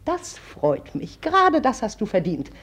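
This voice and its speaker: A woman's voice: on the high side, wildly swooping pitch, a little slow, slightly rough, heavily acted. An actress playing a domineering middle-aged mother who brusquely scolds and commands, in 1950s radio play style. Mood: scolding. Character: bossy domineering mother of grown daughters.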